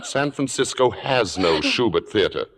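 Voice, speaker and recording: In a reverberant old radio recording, a suave, acid-tongued critic speaks. The low voice swings widely in pitch, with precise, urbane phrasing and a sardonic, controlling edge. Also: male voice, expressive, normal speed, a bit bright. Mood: cold, menacing. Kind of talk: 1950s radio drama acting and narration.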